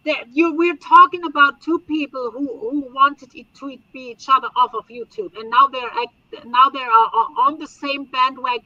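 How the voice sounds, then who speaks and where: A woman's voice: high voice, steady tone, moderate pace, hardly any pauses, sharp tone, dry recording. A woman from Germany with a German accent, a panel guest arguing heatedly, insistent and interrupting. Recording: dull lo-fi online call audio.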